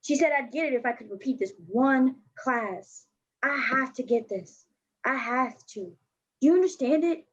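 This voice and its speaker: A woman's voice: high voice, normal speed, breathy edge. A woman playing a blunt, slangy, defensive fourteen-year-old girl skateboarder in a staged play reading. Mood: agitated, pleading. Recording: dull lo-fi recording.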